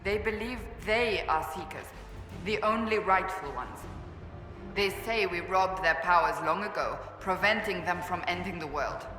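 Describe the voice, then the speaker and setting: A woman's voice: lowish voice, unhurried, bright, forceful tone, faint music behind. A woman playing a stern, righteous warrior in a video game, blunt, serious and formal in her delivery. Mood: stern, disdainful. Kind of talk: video game character dialogue.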